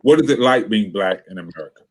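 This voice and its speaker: A man's voice: lowish voice, lively intonation, quick pace, breathy edge. A man moderating a discussion, asking the panel questions.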